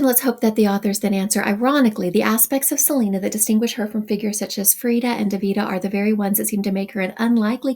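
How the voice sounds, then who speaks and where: Woman reading and commenting on a text, gravelly. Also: mid-range voice, darkish tone. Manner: reads passages then adds wry asides. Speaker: teacher.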